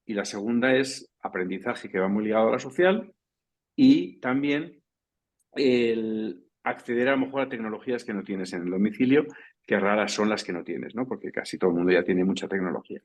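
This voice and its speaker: A man's voice: fairly low pitch, normal speed. A man speaking as a panelist in a professional round-table discussion, talking in a loose, discursive way and often checking in with the listener. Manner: discursive, tags points with "¿no?" and "¿vale?".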